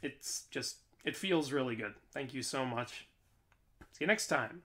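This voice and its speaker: A man's voice: medium pitch, expressive, a little slow, bright, forceful tone. A young adult man chatting casually and informally to camera as a video host. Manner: informal, conversational, frequent "like" and "you know". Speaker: YouTube host. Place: small bedroom at home.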